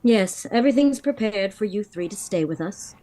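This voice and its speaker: An actress playing an earnest, protective sister in a vintage radio drama. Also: female voice, medium pitch, lively intonation, normal speed, mellow, natural voice. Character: earnest, protective sister of a reckless young man.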